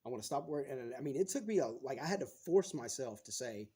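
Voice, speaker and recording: A man's voice: medium pitch, lively intonation, quick pace, dark tone, husky. A man in his thirties, a guest on a podcast, talking frankly in casual chat and swearing freely as he retells things.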